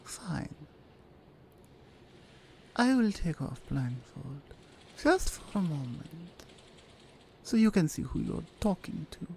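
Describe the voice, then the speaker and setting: A woman voicing a seductive, menacing Russian crime family member with a Russian accent, teasing and commanding in an intimate ASMR roleplay, her breathy murmur sliding into whispers. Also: low voice, very animated, a little mellow, a little hiss or hum, exaggerated voice. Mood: teasing, confident. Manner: slow, deliberate, dominant and teasing.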